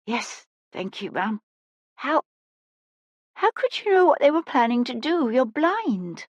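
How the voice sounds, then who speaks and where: A woman's voice: high voice, big pitch swings, a little slow, no room sound, highs cut off, pitched above the performer's own voice. A woman voicing a frightened, polite young lad in an audiobook, with a small, shaky, pleading voice.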